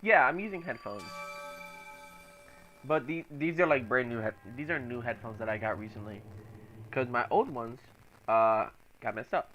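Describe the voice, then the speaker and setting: A young man casually chatting while streaming a game from home, reacting out loud to the game and to chat. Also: mid-range voice, moderate pace, pauses often, muffled recording, soft background music. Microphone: laptop microphone.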